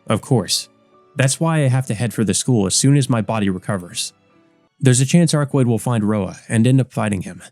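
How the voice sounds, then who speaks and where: Man reading as an earnest, mellow-voiced teenage boy hero. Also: mid-range voice, animated, moderate pace, vocal fry, dry recording, faint music behind. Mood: resolute. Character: earnest, resolute teenage boy in love, visual novel hero. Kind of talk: visual novel character reading.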